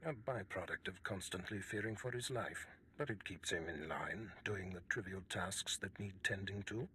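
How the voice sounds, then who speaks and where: A man playing a commanding, scheming Sith lord in a video game, with formal, measured, imperious delivery. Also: deep voice, bright, forceful tone, slight background noise. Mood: cold, commanding. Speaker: voice actor in a game. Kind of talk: cinematic video game acting.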